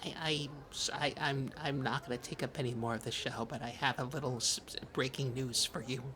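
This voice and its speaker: A performer playing a fussy, easily flustered small-town man, halting, prim and defensive in improvised comedy chat. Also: medium pitch, steady tone, a crowd in the background, natural voice. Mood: sheepish, halting. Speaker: co-host of a comedy podcast.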